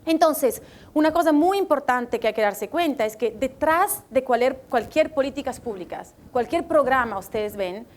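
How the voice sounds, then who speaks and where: A woman from Italy speaking Spanish with an Italian accent, presenting a report at a public event and often pausing to search for words. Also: high voice, lively intonation. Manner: hesitant, searching for words, light self-deprecating laughs. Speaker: World Bank economist presenting a report.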